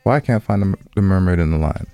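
Lowish-voiced man, laid-back and slangy. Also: quick pace, dark tone, no echo, faint music behind. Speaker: podcast co-host. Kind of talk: casual podcast chat.

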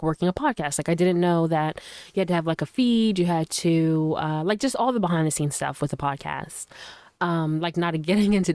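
Slightly hoarse woman hosting a casual podcast. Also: low-pitched, darkish tone, no echo, clean studio sound. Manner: loose and chatty, full of fillers; earnest and encouraging. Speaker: podcast host. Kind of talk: casual solo podcast talk. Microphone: studio microphone.

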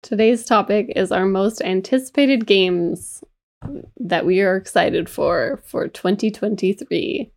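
A young woman co-hosting a casual podcast, dry and deadpan in her replies. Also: mid-range voice, a little slow. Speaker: podcast co-host. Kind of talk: casual chat.

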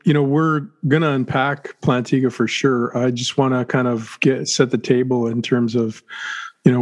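Male podcast host, monotone delivery, halting questions, many fillers, casual interview. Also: mid-range voice. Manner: halting, searching for words with many ums and restarts.

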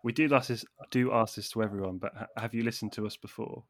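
A man's voice: on the low side, steady tone, fast talker. A man hosting a podcast, hesitant and self-deprecating, with many ums. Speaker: podcast host. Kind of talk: casual conversation.

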